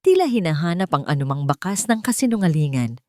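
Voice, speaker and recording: A woman's voice: on the low side, sing-song, fast, a little mellow, dry recording. A woman reading a scripted, dramatic love story as its narrator.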